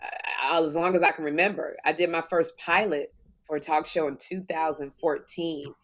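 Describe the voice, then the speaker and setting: A woman in her fifties, co-hosting a podcast, with a deep voice, telling stories animatedly and acting out what people say. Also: moderate pace. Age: 50s. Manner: animated storytelling, acts out quotes. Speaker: podcast co-host. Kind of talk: casual faith-filled chat.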